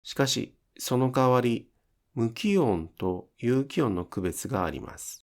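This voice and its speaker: A man's voice: medium pitch, animated, slow. A man giving scripted instructional narration as a pronunciation teacher, enunciating carefully step by step.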